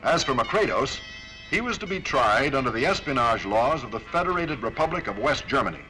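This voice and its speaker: Forceful, animated American male film narrator. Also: mid-range voice, brisk, rough recording. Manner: stern, formal, authoritative. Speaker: presenter and narrator of a military training film. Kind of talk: scripted documentary narration. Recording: Cold War-era film soundtrack, faint music.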